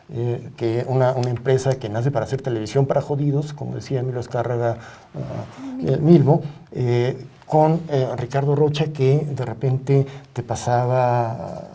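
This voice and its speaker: A middle-aged man with a Mexican accent, speaking as an expert guest in a studio discussion in a deliberate, halting, measured way. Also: mid-range voice, mellow. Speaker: media ombudsman and journalist, panel guest. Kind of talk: reflective studio interview discussion.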